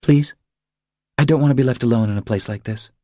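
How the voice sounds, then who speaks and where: A man's voice: medium pitch, brisk, warm tone, dry recording, clean studio sound, voice pushed up, natural voice. A man voicing an earnest young mother who confides her troubles in an audiobook. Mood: pleading. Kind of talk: audiobook character dialogue.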